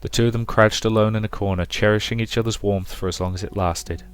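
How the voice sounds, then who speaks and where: A man with a low voice presents a visual novel playthrough. He reads the story narration aloud and gives his own commentary, with music playing behind him. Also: steady tone, fast talker, smooth, no room sound. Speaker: let's player.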